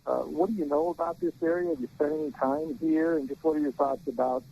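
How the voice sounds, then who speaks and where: A man's voice: fairly high pitch, steady tone, quick pace, creaky, mellow. A male newspaper reporter putting a question at a press conference held by phone. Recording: noisy conference phone line.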